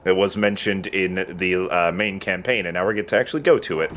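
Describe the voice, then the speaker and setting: A man's voice: low-pitched, brisk, fairly bright tone, slight background noise. A man giving casual, matter-of-fact Let's Play commentary, explaining the game in a laid-back, sweary way. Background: game music.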